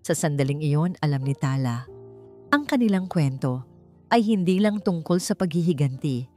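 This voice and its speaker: A woman narrates a romantic drama in a fairly low voice. Her delivery is measured and scripted, with soft music running behind her. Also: expressive. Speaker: story narrator. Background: background music.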